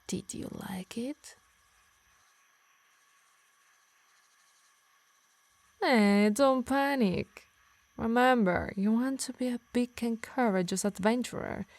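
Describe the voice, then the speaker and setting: A woman with an Italian accent playing a possessive, obsessed harpy in an ASMR roleplay, soft and breathy, slipping into whispers, both tender and menacing. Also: a little high, measured pace, no echo. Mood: smug, menacing. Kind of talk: intimate ASMR roleplay acting.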